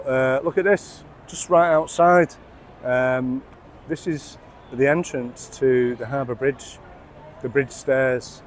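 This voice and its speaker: A man's voice: mid-range voice, a little hiss or hum. A man in his forties with a Mancunian accent, tipsy from beer, chatting and swearing freely as he vlogs a pub crawl through the city streets on a handheld phone. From England. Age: 40s. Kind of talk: casual walking vlog. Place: outdoors on city streets and a busy rooftop bar.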